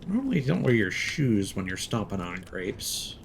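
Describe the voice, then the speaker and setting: A man's voice: on the low side, big pitch swings, moderate pace, a little hiss or hum. A man giving casual live gameplay commentary, musing aloud and reading in-game notes out as he goes. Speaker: video game streamer.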